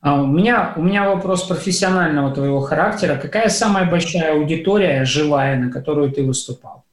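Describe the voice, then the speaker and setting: A male radio co-host asks questions in a curious, lightly joking way. His voice is high for a man and rings with room echo. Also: a little fast.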